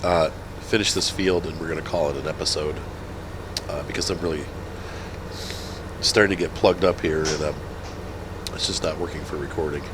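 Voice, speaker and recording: A man's voice: extremely low pitch, steady tone, normal speed, pauses often, noisy background. Stuffy-nosed man with a head cold. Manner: rambling, self-correcting, chuckling. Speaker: gaming channel host. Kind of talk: casual let's-play commentary.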